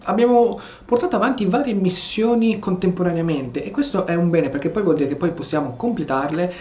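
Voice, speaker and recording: A man's voice: high voice, fast, darkish tone. An Italian man casually commentating on a video game as he plays, chatting and reading lines aloud. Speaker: gameplay streamer. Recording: roomy, dull mic over game music. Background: game audio and music.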